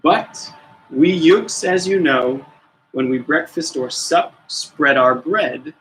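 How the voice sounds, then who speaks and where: A man's voice: on the high side, slow, a bit bright, a little hiss or hum, rough recording. A man voicing a very old, suspicious grandfather from a storybook, giving grave, emphatic warnings. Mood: grave, indignant.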